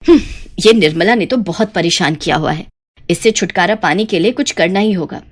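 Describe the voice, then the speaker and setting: A crafty older village aunt , as a female narrator plays her. She sounds fed up yet sly and confiding, pitched a little under ordinary female talk, in a cartoon moral tale. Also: fairly quick, no echo, natural voice.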